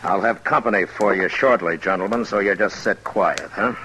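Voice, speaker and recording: An actor playing a tough, terse frontier marshal with a dry, commanding manner in an old radio western. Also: male voice, on the low side, moderate pace, heavy background noise, close to an everyday voice. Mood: stern, commanding. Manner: terse, commanding, dryly sardonic. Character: tough, stern frontier US marshal telling his own story. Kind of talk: 1950s radio western acting and narration. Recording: old radio broadcast with loud music behind.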